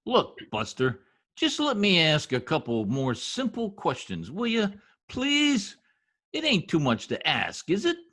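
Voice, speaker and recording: A man's voice: fairly high pitch, huge pitch swings, unhurried, bright, forceful tone, heavily acted. A man playing a brash, folksy census taker in old-time radio comedy style, slangy, blustering and easily exasperated.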